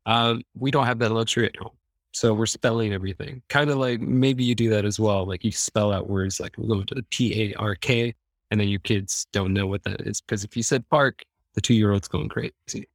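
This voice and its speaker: A man's voice: fairly low pitch, steady tone, moderate pace, dry recording. Easygoing Midwestern American man, dry close sound. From Iowa, American Midwest. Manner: easygoing, joking. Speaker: podcast guest. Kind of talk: casual chat, personal storytelling.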